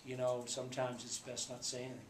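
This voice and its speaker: Flat-voiced man in an echoey press room. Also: medium pitch. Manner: measured, halting, many fillers and restarts. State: subdued after a loss. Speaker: basketball coach. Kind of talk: postgame press conference. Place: small press room indoors. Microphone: table mic. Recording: echoey room, faint background hum.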